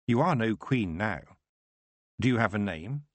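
A man voicing a young witch who speaks firmly and in a measured, commanding way. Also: lowish voice, animated, no echo. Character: young witch girl Tiffany, firm and sharp-minded.

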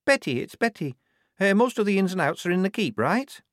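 Man voicing a timid, high-pitched young woman. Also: big pitch swings, fairly quick, dry recording, clean studio sound. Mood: wistful, anxious. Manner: timid, hushed, hesitant. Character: nervous, wistful young woman soldier.

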